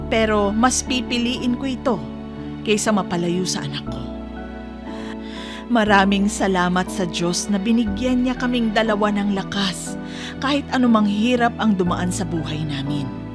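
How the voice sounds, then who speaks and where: A woman's voice: medium pitch, lightly acted. Woman acting a heartfelt Filipino radio storyteller over music. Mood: heartfelt, grateful. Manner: heartfelt, sentimental, earnest. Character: radio letter hostess reading a devoted mother's story. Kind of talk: scripted dramatic narration, radio drama. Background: music bed.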